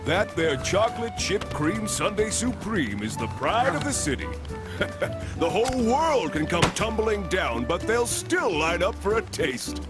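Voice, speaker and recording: A folksy street vendor in a video game, all hearty sales patter. His voice is high for a man and swings widely, with loud music behind. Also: very animated, unhurried. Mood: proud, jovial. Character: jovial, folksy male ice cream vendor. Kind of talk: video game cutscene acting.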